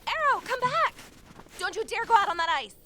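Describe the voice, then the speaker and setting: A woman's voice: extremely high pitch, sing-song, brisk, heavy background noise. A woman playing a plucky, wry young former journalist in an audio drama, speaking conversationally. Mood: frantic. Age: young adult. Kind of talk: first-person audio drama narration and acting.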